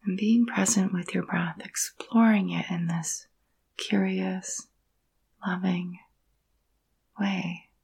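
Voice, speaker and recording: A woman guides a meditation in a soft, breathy voice with a gravelly creak at its edges. Her intonation stays quite even and gentle. She speaks in hushed, soothing phrases separated by long pauses. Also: lowish voice. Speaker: yoga nidra meditation guide.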